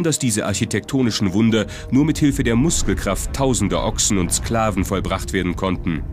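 A man delivering scripted documentary narration. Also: fairly low pitch. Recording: prominent music bed under voice. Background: music.

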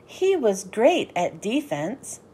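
A woman reading a children's storybook aloud in an animated, sing-song way with deliberate phrasing. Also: medium pitch, slightly rough. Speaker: children's book read-aloud host. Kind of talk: expressive storybook narration for young children.

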